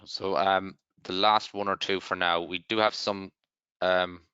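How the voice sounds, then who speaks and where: A man with a very deep, clear voice moderating an online session, hesitant and full of ums. His bright tone comes through dry, slightly dull call audio. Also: level tone, measured pace, no room sound. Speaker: webinar moderator. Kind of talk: moderating questions.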